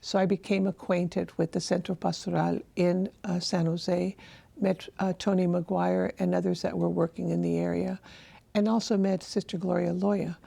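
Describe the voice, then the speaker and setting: An older woman from El Salvador, raised in San Francisco, answers interview questions in an unhurried, halting way. Her low, dark voice is heavily gravelly and a little husky. She often stops to restart her sentences. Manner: thoughtful, halting, many fillers and restarts. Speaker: interviewee, religious sister. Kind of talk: oral history interview.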